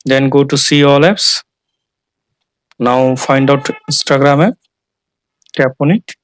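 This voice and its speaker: Male tutorial host, mid-pitched, for step-by-step phone instructions in short plain phrases, dry studio-free sound. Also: steady tone, takes it slowly, no echo. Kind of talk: scripted step-by-step tech tutorial narration.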